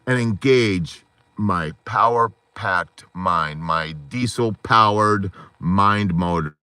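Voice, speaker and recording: Fervent hoarse man, bright and dry. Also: fairly low pitch, takes it slowly, no echo. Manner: fervent, rambling pep talk full of metaphors. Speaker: support group host. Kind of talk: motivational live stream talk. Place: inside a parked car at night.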